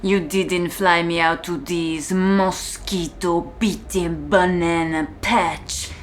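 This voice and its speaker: A woman playing a contemptuous, commanding prison warden in a slow, deliberate, menacing ASMR-style roleplay monologue. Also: fairly low pitch, fairly even intonation, slight background noise. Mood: cold scorn. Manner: slow, deliberate, menacing, often dropping to a whisper.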